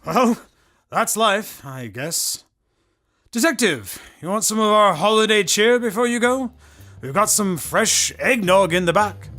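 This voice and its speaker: A man's voice: high voice, expressive, measured pace, bright, forceful tone, exaggerated voice. A man playing a matter-of-fact, clinical medical examiner in an audio drama. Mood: casual, friendly. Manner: clinical, explanatory. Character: matter-of-fact male medical examiner reporting odd findings.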